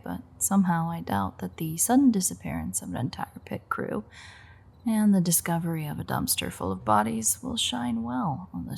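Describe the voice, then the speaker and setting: A woman playing a playful, teasing vampire with dark predatory confidence in an intimate, sardonic audio roleplay, her voice husky and breathy. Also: lowish voice, full character voice. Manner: teasing, sardonic, swearing banter.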